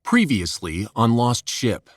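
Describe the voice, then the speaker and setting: A man's voice: medium pitch, sing-song, slow, slight background noise. A man reading scripted announcements for an audio drama podcast in a dramatic style. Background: loud music.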